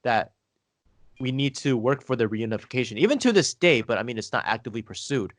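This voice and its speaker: A man hosting a podcast, explaining history in a steady way and asking his guest leading questions. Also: mid-range voice, a little fast, dry recording. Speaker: podcast host. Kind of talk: conversational history discussion.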